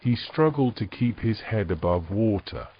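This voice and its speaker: A man serves as narrator, reading scripted fantasy prose. His voice sits low, and the recording sounds a touch dull. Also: expressive, moderate pace, slight background noise.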